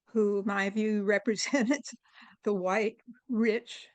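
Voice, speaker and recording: A woman giving an unscripted comment on an audio-only online call, halting as she searches for words. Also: medium pitch, fairly even intonation, darkish tone, no echo. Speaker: audience participant.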